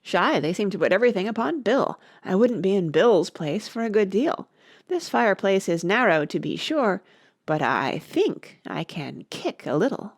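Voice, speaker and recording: A woman's voice: medium pitch, big pitch swings, normal speed, no room sound, clean studio sound. A woman voicing a young girl who muses to herself with self-assurance in a storybook. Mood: wry, determined. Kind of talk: storybook character voice.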